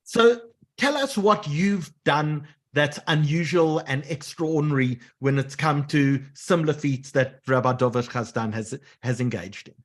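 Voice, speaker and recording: A man with a slightly high voice acts as the host of an interview. He introduces his guest and puts questions to him. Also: a little slow, a bit bright. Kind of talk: hosting, posing questions to a guest.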